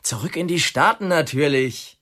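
A mocking brash crook. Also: male voice, fairly high pitch, animated, moderate pace, pushed and bright. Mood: scornful. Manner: mocking, swaggering. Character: brash restless male criminal Dick, mocking. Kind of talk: radio drama acting.

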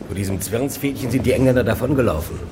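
A man's voice: medium pitch, quick pace, background noise, lightly acted. An actor playing a doubtful townsman in a crowd, grumbling his questions.

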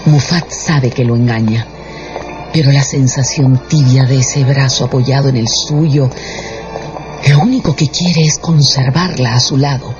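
A male narrator tells a scripted story in a dramatic radio style. His voice is medium in pitch. Music plays clearly underneath, and the recording sounds slightly muffled. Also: a little slow.

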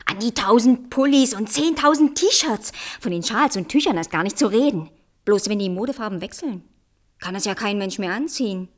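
A woman's voice: mid-range voice, lively intonation, moderate pace, close to an everyday voice. An actress in a radio play fairy tale playing a vain, naive, childish young princess with a girlish, exclamatory way of talking. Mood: spoiled, bossy.

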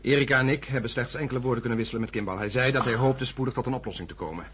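An excited young astronaut bringing big news, played by a man. He talks at a quick clip over a faint hiss. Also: mid-range voice, close to an everyday voice. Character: eager young male astronaut Peter. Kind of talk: 1970s radio drama acting.

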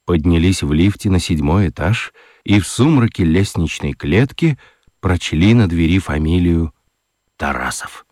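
Deep voiced guy narrating a kids radio story, really animated, dramatic pauses, dry studio sound. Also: no room sound. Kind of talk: expressive scripted storytelling for children's radio play.

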